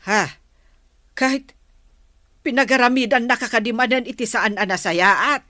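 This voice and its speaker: A woman's voice: a little high, moderate pace, pushed and bright, dry recording, studio quality. A woman playing a proud, skeptical middle-aged village mother in a radio drama, with an Ilocano accent.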